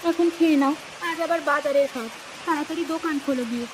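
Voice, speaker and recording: A woman performs a cartoon village housewife with a very high voice. She is caring and fusses over her husband. Faint rain and insect ambience sits behind her. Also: quick pace, background noise, natural voice. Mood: affectionate. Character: caring, fussing village shopkeeper's wife. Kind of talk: cartoon voice acting.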